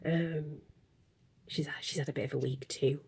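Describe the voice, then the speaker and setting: A woman with a gravelly, husky voice, sounding stuffy as if full of cold. She chats at her home desk into a close desk microphone, rambling and self-deprecating, with soft chuckles between thoughts. Her tone sits low and mellow. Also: steady tone, unhurried, dry recording. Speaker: crafting podcast host. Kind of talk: casual chatty monologue.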